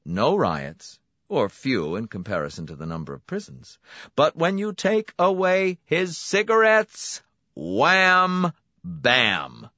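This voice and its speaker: A man voicing a smooth, smiling, menacing clinic director who relishes his words. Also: medium pitch, wildly swooping pitch, slow pace, bright, forceful tone, no echo, dull audio, pitched above the performer's own voice, heavily acted. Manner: silky, pointed, relishing emphasis.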